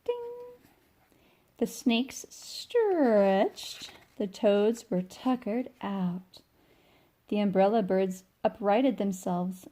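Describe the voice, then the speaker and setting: A woman reading a children's picture book aloud as an informal, expressive storyteller who stretches key words for young listeners. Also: medium pitch, extremely slow. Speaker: read-along storyteller.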